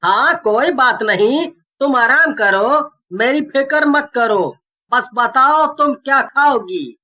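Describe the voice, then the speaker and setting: A woman voicing a devoted, practical young husband in an animated horror story, indulgent and reassuring with a teasing edge. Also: high voice, takes it slowly, voice pushed up, full character voice. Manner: reassuring, gently chiding.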